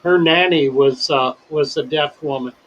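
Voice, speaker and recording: An older man hosting a casual livestream chat, talking in a slow, wordy and deliberate way and telling stories. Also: a little high, fairly bright tone, highs cut off, a little hiss or hum. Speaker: livestream host.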